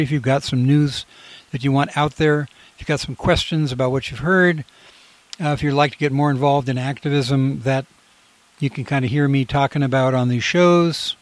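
A man's voice: medium pitch, no echo. Rambling male radio host. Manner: rambling, many fillers and restarts. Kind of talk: casual radio talk.

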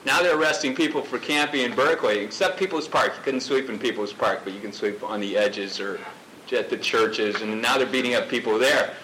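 A man giving an impassioned speech at a public gathering, loud and declaiming, talking without a microphone. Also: medium pitch, brisk, a little hiss or hum, poor audio. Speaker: activist speaker at a rally. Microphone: off-mic, picked up from a distance.

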